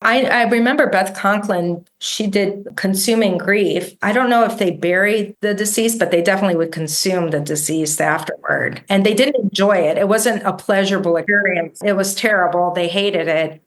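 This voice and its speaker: A woman's voice: medium pitch, moderate pace, hardly any pauses. A woman whose first language is Greek, a guest researcher explaining ideas in a thoughtful, conversational way with frequent self-corrections. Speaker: guest, book editor and researcher.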